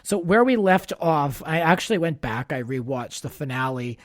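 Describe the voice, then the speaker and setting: A man's voice: a little high, expressive, normal speed, no room sound. Chatty male podcast host, dry close sound. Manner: chatty, opinionated. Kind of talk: casual pop-culture chat.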